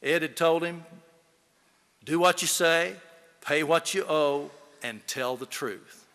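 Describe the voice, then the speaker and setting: A man delivers a eulogy in a big, echoing hall. His voice is bright and forceful, and he tells folksy stories with emphasis, speaking straight to the people gathered. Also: on the high side, slow. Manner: folksy, storytelling, emphatic, addressing the audience. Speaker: eulogy speaker, fellow lawyer. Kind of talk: eulogy speech with folksy anecdotes. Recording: echoey hall with long reverb.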